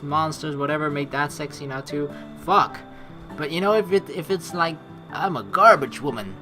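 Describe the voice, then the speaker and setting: A young man from the Netherlands reading a game aloud and chatting casually, crude and joking, with swearing. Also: a little high. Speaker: let's play streamer. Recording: music behind the voice. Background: video game music.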